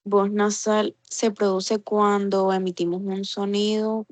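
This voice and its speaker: Young student reading her bit out, pretty monotone. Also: medium pitch, slow. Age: young adult. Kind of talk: reading aloud in class.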